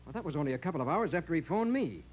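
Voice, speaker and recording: An actor playing a confident, quick-thinking private detective with a crisp, commanding manner in 1940s radio drama style. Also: male voice, fairly high pitch, very animated, highs cut off, slight background noise. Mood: assured, inquisitive.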